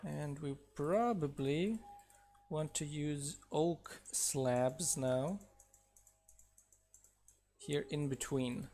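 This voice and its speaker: A man's voice: fairly high pitch, very slow, long pauses, dark tone, slight background noise. A man whose first language is not English, a mod developer chatting casually while building in a live game stream, counting blocks aloud and laughing at his own mishaps.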